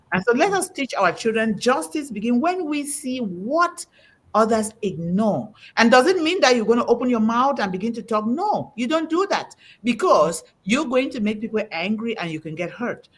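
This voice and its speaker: Husky, animated woman preaching with emphasis. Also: fairly high pitch. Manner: emphatic, quotes scripture with rising emphasis. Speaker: host. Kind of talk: preaching-style talk on a panel.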